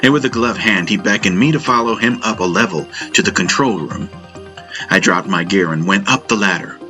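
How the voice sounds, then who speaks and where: Deep-voiced man narrating over music. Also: brisk, pushed and bright. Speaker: audiobook narrator. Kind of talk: scripted first-person story narration.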